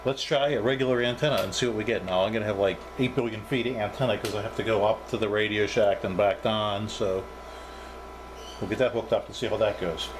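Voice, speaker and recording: A man's voice: lowish voice, steady tone, brisk. A man giving a casual, off-the-cuff hands-on equipment review, thinking aloud in a hesitant way. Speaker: reviewer demonstrating radio equipment. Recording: clearly noisy background.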